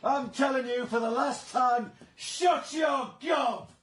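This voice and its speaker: An actor playing a gruff, bullying workhouse porter in a period radio drama. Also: male voice, extremely high pitch, slow, a bit bright, raised voice, slight background noise, heavily acted.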